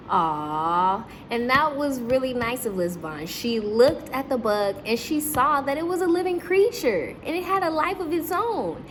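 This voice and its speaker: A woman reads a children's story in a slow, very animated voice, set a little high. She steps out of the tale to ask her young listeners questions and explain things. There is faint noise behind her. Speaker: teacher reading stories to children. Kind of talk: children's read-aloud with asides to the listeners.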